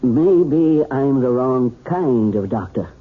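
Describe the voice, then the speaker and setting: A folksy, husky family doctor. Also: male voice, mid-range voice, animated, takes it slowly. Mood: reassuring. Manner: folksy, reassuring. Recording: tinny phone-like old radio recording.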